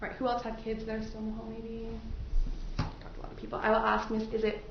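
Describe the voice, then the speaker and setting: A woman's voice rings through a very echoey courtroom, picked up far from the mic. She speaks with a creaky edge as an attorney politely questioning a jury panel. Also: fairly high pitch, monotone, fast. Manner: polite, probing, repeats answers back. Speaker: attorney questioning prospective jurors. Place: large indoor courtroom. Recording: very echoey courtroom, distant mic, muffled, faint noise.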